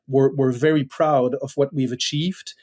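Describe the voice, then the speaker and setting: A middle-aged Belgian man speaks as a podcast guest in a mellow, dark tone. He talks in a halting way, full of fillers and restarts, as he tells a story. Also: medium pitch, fairly even intonation. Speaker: podcast guest, company founder.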